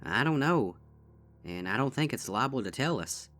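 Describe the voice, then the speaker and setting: A man's voice: mid-range voice, lively intonation, normal speed, a bit bright, voice pushed up, close to an everyday voice. A man voicing a stern, protective older-sister farm pony with a drawl. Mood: solemn. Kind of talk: fanfic audiobook reading.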